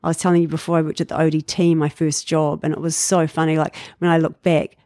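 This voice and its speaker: A New Zealand woman in her fifties, telling her life story in a relaxed interview, reflective with frequent fillers and light laughs. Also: sits low, fast talker, dry recording, clean studio sound. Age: 50s. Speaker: guest. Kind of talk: casual interview chat.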